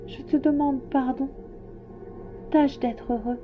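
A woman's voice: high voice, steady tone, slow pace, narrow, tinny audio, faint music behind, voice pushed up. A woman voicing a proud, fragile flower in a storybook, tender but touchy, with a coquettish pride that hides sorrow. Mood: tender, regretful.

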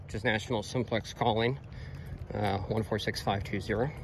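A man's voice: lowish voice, fairly even intonation, moderate pace. Hesitant American man on a noisy street. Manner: hesitant, rambling, self-correcting. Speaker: radio hobbyist presenter. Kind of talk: casual product demonstration. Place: outdoors on a roadside sidewalk. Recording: noisy outdoor recording with traffic.

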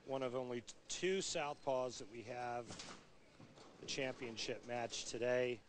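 A man's voice: mid-range voice, fairly even intonation, measured pace. A middle-aged American man giving analytical bowling commentary in an explanatory, conversational way. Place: bowling centre during televised championship. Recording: broadcast over loud venue noise. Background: loud bowling venue ambience.

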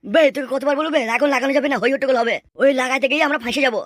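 Performer voicing high, quick-talking excitable cartoon sidekick. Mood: scheming, nagging. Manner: nagging, excitable, slangy. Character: excitable, wary male cartoon sidekick, crude and nagging. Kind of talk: comic cartoon dubbing. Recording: clear music under the voice.

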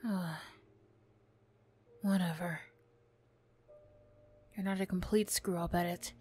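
Female actor as flustered ex-bully girl, young adult. ASMR roleplay, slow delivery, bashful stammer, defensive snap. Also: on the low side, steady tone, a little mellow, slightly rough. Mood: grudging, soft.

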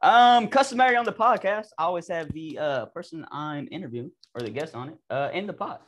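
Young man, podcast host, fairly high voice, friendly, hesitant. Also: animated, fairly quick, fairly bright tone. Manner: upbeat, friendly, hesitant fillers. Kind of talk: casual interview.

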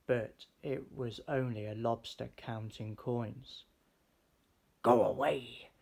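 Man, children's story narration, expressive, husky, taking his time. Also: medium pitch, slow. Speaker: storybook reader.

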